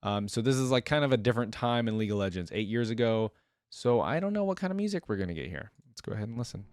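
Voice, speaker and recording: A man's voice: mid-range voice, lively intonation, fast talker, a little mellow, clear, resonant tone, dry recording. A man hosting a casual reaction video, informal and thinking aloud as he comments.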